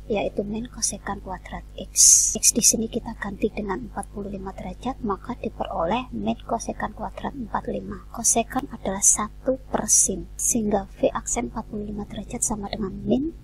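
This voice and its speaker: A woman, a math teacher talking softly through each step of a lesson. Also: medium pitch, fairly even intonation, fairly quick, vocal fry, background noise.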